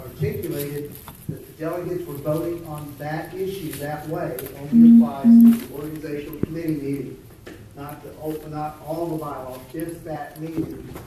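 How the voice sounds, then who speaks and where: Man presiding over a meeting, halting restarts, fairly high voice, echoey hall from far off, background noise. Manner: halting, restarts while explaining a ruling. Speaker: meeting chairman.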